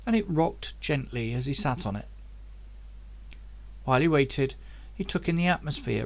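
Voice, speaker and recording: Guy reading his sci-fi novel out loud, very up and down delivery, kinda high voice. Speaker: author reading own novel. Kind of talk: scripted audiobook narration.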